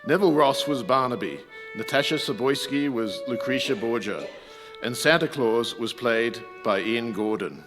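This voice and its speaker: A man's voice: medium pitch, moderate pace. A man working as a radio announcer, reading out closing credits. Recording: echoey hall with long reverb. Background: violin music.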